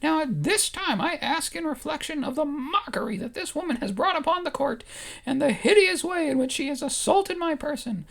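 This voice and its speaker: A woman's voice: lively intonation, moderate pace, vocal fry. Woman doing a stern little Ewok warrior. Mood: indignant. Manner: stiff formal declaiming, high and strained. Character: small proud male Ewok warrior, formal and stern.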